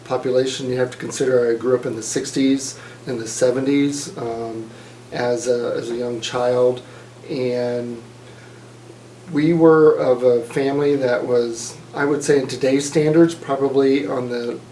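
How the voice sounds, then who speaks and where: Casting: man in his fifties from Mississippi, mellow dark voice, measured oral-history storyteller, echoey room with faint noise. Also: mid-range voice, steady tone. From Mississippi, USA. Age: 50s. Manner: measured, thoughtful, many fillers and restarts. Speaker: interviewee.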